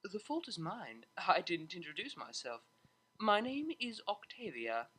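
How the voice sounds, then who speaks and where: Narrator playing a well-bred young female pony musician for an audiobook: formal, reserved, precise, bright. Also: medium pitch, sing-song, a little slow, breathy edge, full character voice. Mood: polite, modest. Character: refined, polite young cellist mare.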